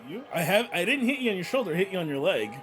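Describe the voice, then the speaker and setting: Fast-talking, higher-voiced man at a game. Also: very animated, a bit bright, soft background music. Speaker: tabletop game player. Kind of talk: casual game chat.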